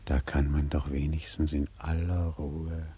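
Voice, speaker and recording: An actor playing a drowned man's reproachful ghost in a 1940s radio drama, speaking deliberately and quietly accusing. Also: level tone, full character voice. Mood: resigned, haunting. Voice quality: very deep, husky, breathy at times. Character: drowned one-legged man, a slow reproachful ghost. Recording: old 1940s radio recording.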